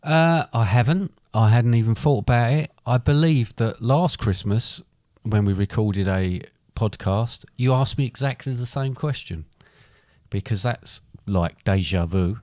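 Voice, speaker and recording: A man's voice: medium pitch, a little slow, darkish tone, no room sound. Middle-aged man, dry close recording. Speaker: podcast co-host. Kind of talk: casual chat.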